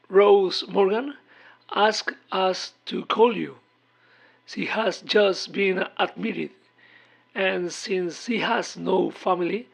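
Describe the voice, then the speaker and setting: A man voicing a solemn hospital caller in a horror audio drama, husky and creaky, measured and formal. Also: high voice, exaggerated voice. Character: solemn hospital caller delivering urgent, grave news.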